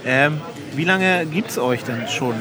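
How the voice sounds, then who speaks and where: A man hosting a casual interview, asking questions in a halting way with restarts and filler sounds. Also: on the high side, lively intonation, over music. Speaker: interviewer host. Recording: loud crowd chatter behind.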